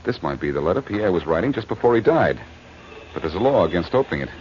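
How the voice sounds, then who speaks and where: An actor playing a smooth, wisecracking amateur detective with an American accent in a 1940s-style radio drama, brisk and flirtatious. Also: male voice, sits low, sing-song, a little mellow. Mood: bantering. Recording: muffled, noisy old radio recording.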